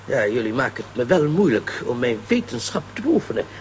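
A man's voice: mid-range voice, very animated, fairly quick, noisy background, lightly acted. A man acting an absent-minded, fussy professor in a children's radio play, hesitant and forgetful. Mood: flustered.

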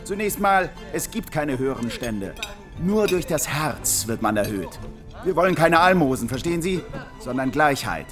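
A man's voice: fairly high pitch, sing-song, moderate pace, fairly bright tone, soft background music. A man playing a stern socialist agitator in a radio play, lecturing in a declamatory, insistent way. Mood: scornful, insistent. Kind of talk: German radio play acting.

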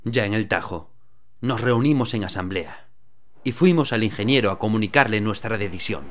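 A man narrating a radio drama in the first person, telling the story in a steady, even way. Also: fairly low pitch, moderate pace.

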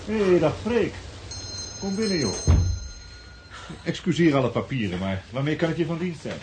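A man acting in a radio drama as a genial, obliging bookseller, with a friendly, chatty, expressive way of talking. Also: on the high side, moderate pace, noisy background, natural voice. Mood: welcoming, flustered.

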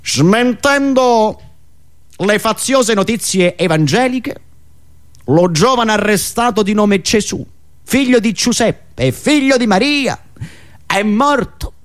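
Guy doing a puffed-up official in a satire show, high pitched, botching big words. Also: very animated, takes it slowly, long pauses, full character voice. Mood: solemn, officious. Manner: pompous, bureaucratic, mangling words. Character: pompous semi-literate Italian official reading a forensic report.

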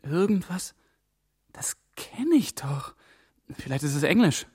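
An eager young intern, lively and high. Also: male voice, slightly rough, full character voice. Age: young adult. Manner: quick, excited deductions. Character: young male intern turned sleuth. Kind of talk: radio drama acting.

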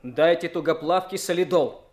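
A man's voice: fairly high pitch, darkish tone, close to an everyday voice. Earnest, stubborn young truck driver played by a man. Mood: defiant. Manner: emphatic, plain-spoken, deliberate. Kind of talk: 1970s Soviet radio play acting.

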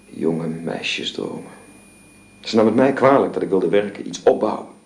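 A man acting in a radio drama as a sorrowful, gentlemanly husband, speaking haltingly and reflectively. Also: low voice, big pitch swings, creaky, full character voice. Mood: wistful, brooding. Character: sorrowful husband of a dying woman, gentlemanly and brooding.

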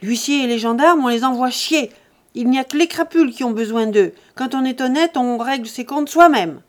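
A coarse peasant man bellowing to throw a rival out of the house, as a woman reader acts him. The voice stays high and loud, blunt and rough in its words. It sounds like a storybook read aloud. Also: expressive, measured pace. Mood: angry shouting. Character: angry, stubborn peasant man.

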